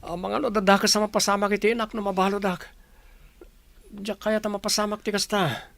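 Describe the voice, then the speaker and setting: Casting: remorseful young Ilocano Filipino man, high voice, pleading radio-drama delivery. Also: little pitch movement, a little fast, vocal fry, a bit bright, no room sound. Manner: pleading, contrite.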